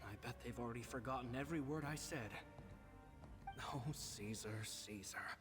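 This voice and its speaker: A man's voice: medium pitch, normal speed, natural voice. A man playing a reflective, self-doubting video game character who muses to himself with some self-reproach. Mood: pensive. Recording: game music behind the voice.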